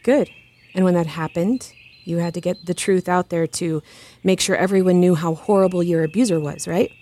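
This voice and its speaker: An actress playing a calm, supportive counselor friend in an audio drama, coaxing in a measured, soothing manner. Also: female voice, lowish voice, natural voice. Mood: probing. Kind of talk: fiction podcast audio drama acting.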